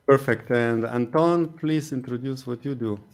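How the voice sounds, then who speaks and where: A man's voice: medium pitch, unhurried. A man in a mid-range voice hosts a live webinar and introduces his guests. His talk is loose and casual, full of "uh"s and false starts as he works through the running order. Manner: casual, with many fillers and restarts. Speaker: webinar host. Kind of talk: hosting a live online Q&A.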